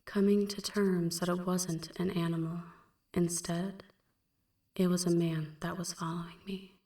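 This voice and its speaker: A woman playing a melancholy female vampire in an ASMR roleplay, recounting a dark past in a hushed, breathy, measured half-whisper. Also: fairly low pitch, level tone, reverberant, far from the mic. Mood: somber, haunted. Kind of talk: ASMR roleplay, slow dramatic first-person narration.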